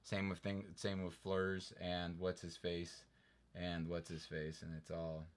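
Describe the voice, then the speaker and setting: A man hosting a casual livestream, talking in animated, exasperated, rambling rants. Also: low-pitched, unhurried.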